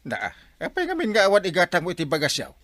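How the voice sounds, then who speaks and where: Radio comedy lead: excitable, kindly Ilocano barangay captain, played by a man, extremely animated swings in pitch, exclamatory. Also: fast talker, no echo, heavily acted. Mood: exasperated, generous. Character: kindly, excitable village captain who helps everyone. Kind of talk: Filipino radio comedy drama acting.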